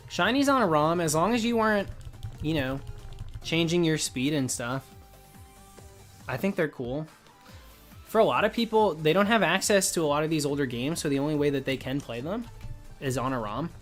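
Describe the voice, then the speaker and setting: A gaming livestream late into the session: a guy with a slightly high voice, going a bit hoarse, talks opinions through with his chat over faint music. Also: animated, moderate pace, long pauses. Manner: opinionated, explanatory, informal. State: losing his voice. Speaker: live streamer, host.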